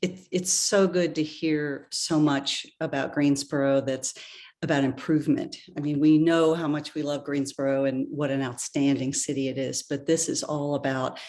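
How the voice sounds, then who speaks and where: Woman, very low pitch, monotone, earnest, lots of ums. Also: normal speed. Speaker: volunteer. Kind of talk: casual remarks on a video call. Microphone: video call.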